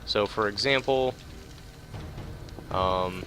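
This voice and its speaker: A man with a lowish voice talks casually through a game playthrough. He is laid-back and rambling, often hesitant, and clear game music plays behind him. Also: drawn-out words, bright, forceful tone. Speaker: game player commentating a playthrough. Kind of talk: casual game commentary, partly reading on-screen tips.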